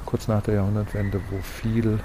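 A man's voice: lowish voice, level tone, normal speed. A middle-aged man from Hesse in Germany, acting as a local guide and explaining things in a casual storytelling way. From Lauterbach, Hesse, Germany. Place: outdoors on a walk through town. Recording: noisy outdoor recording, traffic ambience.

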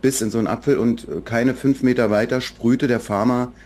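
A man from Berlin, a children's book author, talking reflectively in a relaxed podcast interview, with many fillers and restarts. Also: medium pitch, a little fast. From Berlin, Germany.

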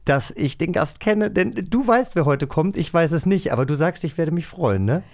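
A man's voice: a little high, expressive, normal speed, darkish tone. A man talking casually as co-host of a magazine show, chatty and self-deprecating. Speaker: podcast co-host.

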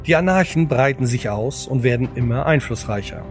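A man reading scripted audio drama narration in a measured, solemn storytelling manner. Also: mid-range voice, expressive. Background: music.

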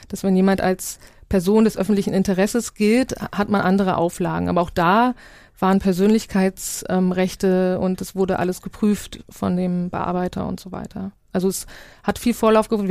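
A woman's voice: medium pitch, vocal fry, darkish tone, no room sound. Reflective woman in a dry studio interview. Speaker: interview guest, audio documentary maker. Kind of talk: conversational interview, recounting own project.

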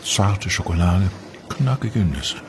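An actor playing a suave, sonorous gentleman detective in an indulgent radio-play advertisement, on a noisy old recording. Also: low voice, lively intonation, slow. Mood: savouring. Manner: leisurely, indulgent. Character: suave gentleman detective savouring liqueur chocolates.

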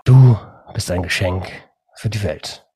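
Husky podcast host with a cold. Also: male voice, sits low, measured pace. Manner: upbeat, animated, says "geil" and "Alter". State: has a cold. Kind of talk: casual podcast chat.

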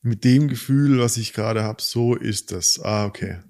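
A man with a fairly low voice, co-hosting a relaxed podcast chat. He reflects as he speaks, working his thoughts out aloud. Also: normal speed. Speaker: podcast co-host. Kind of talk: casual conversation about relationships.

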